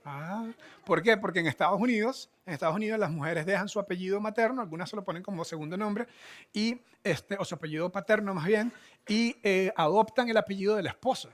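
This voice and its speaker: A man's voice: high-pitched, fast. A man, teaching a church group in a chatty, joking way. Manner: chatty, joking, shows books. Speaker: pastor. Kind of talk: casual teaching talk.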